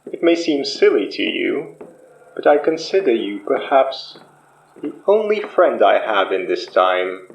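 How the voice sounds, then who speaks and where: Sinister possessing spirit, unhurried, swooping theatrical delivery, horror podcast drama, muffled echoey sound. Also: mid-range voice, sing-song, gravelly. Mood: politely menacing. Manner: slow, measured, theatrical, politely menacing. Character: George May, polished, regretful, sinister possessing sorcerer spirit from the past. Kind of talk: audio horror drama acting.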